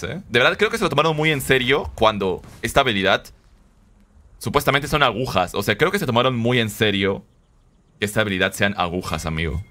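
Young peruvian guy streaming a game, low voice that jumps all over, game music going behind. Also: slight background noise. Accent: Peruvian Spanish from Lima. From Lima, Peru. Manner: laughs often, swears, chatty and excitable. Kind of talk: casual gameplay commentary. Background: game music and sounds.

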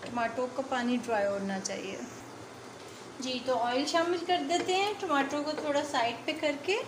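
A woman's voice: high voice, normal speed, background noise. A woman hosting a home cooking video, chatty and explaining each step as she cooks at the stove. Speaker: home cooking channel host. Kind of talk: casual cooking demonstration. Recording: echoey kitchen with loud sizzling.

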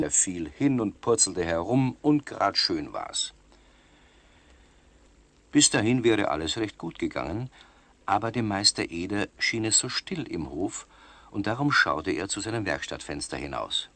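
An actor narrating a children's radio play as the storyteller. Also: male voice, sits low, fairly bright tone, dry recording, heavily acted.

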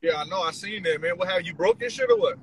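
Young dude chatting from his car on a phone, chill and slangy, slightly high voice, faint engine hum. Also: fast, low-quality recording. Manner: laid-back, slangy, calm. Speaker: livestream host. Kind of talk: casual chat. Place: parked car.

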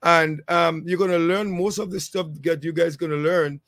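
Island-raised man in his thirties, vlog host, easygoing and rambling, fairly high voice, dry close headset sound. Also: moderate pace, no echo. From a small island. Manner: relaxed, rambling, many fillers. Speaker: channel host, HVAC technician. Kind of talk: casual advice vlog.

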